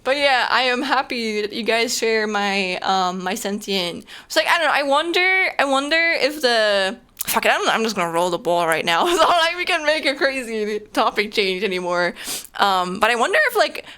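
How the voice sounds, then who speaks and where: A young woman with a German accent chats loosely with her stream audience. She rambles, leans on fillers and restarts often. Her voice is bright and crisp and sits a little high. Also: animated, normal speed. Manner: rambling, filler-heavy, frequent laughter. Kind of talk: casual chat with viewers.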